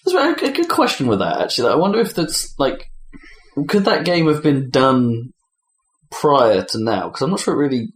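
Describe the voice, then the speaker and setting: A man's voice: medium pitch, sing-song, normal speed. A man hosting a casual gaming chat, asking questions and thinking aloud. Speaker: podcast host. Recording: echoey room.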